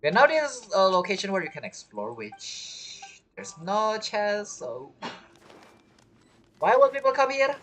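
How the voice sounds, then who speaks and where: A man's voice: high voice, sing-song, a little slow, fairly bright tone, slight background noise, rough recording. A man giving casual, slangy, jokey commentary as he plays a video game. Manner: jokey, acts out imagined quotes, slangy. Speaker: game streamer.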